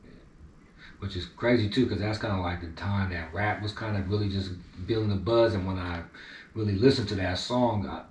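A very deep-voiced man hosts the conversation in an easygoing way, dropping in short affirmations. He sits back from the mic in an echoey room, with a faint hiss behind him. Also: steady tone, a little fast, a little mellow, recorded from a distance. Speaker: interviewer. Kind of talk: casual interview.

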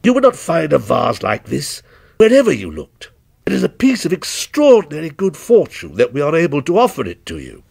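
A man's voice: sits high, big pitch swings, normal speed, no room sound, studio quality, pitched above the performer's own voice. A man voicing an earnest male shop associate in a storybook, formally and emphatically vouching for the goods.

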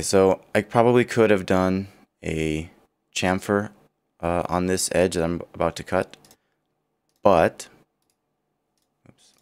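A man with a partly lost, rough voice walks through a computer design tutorial, thinking aloud and correcting himself as he goes. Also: low voice. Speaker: CAD tutorial instructor. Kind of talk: screen-recorded software walkthrough.

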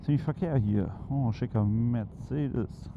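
A man talks loosely and eagerly while riding a motorcycle through city traffic, dropping plenty of fillers. His voice comes through a dull, muffled helmet headset. Wind, engine and street traffic roar clearly behind him. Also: medium pitch, slow pace. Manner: chatty, enthusiastic, many fillers. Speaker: motovlog host. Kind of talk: casual chat while riding.

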